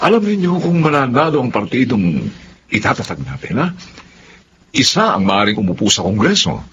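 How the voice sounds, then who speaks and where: Animated, ambitious political organizer. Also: male voice, mid-range voice, moderate pace. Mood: eager. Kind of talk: Filipino radio drama acting. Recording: dull, noisy ambient track.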